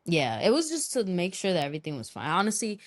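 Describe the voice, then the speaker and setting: Low-pitched woman podcast co-host, slangy. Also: huge pitch swings, quick pace, dry recording, studio quality. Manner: slangy, swears freely. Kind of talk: casual chat.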